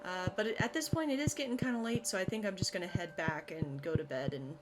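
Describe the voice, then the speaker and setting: A woman's voice: fairly low pitch, fast, background noise. A woman hosting a casual let's play, explaining her plans step by step as she goes. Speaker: gaming video host. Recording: music playing behind. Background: game music.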